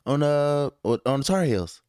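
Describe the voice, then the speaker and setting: A man's voice: a little high, animated, unhurried, darkish tone, clean tone, no room sound, studio quality. A Texan man co-hosting a podcast, laid-back and slangy in casual sports chat. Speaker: podcast co-host.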